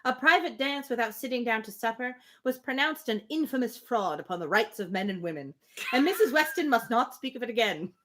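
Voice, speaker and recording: A woman's voice: fairly high pitch, lively intonation, fairly quick, fairly bright tone. A woman reading a classic novel aloud expressively, breaking off for casual, chatty asides. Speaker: live book reader.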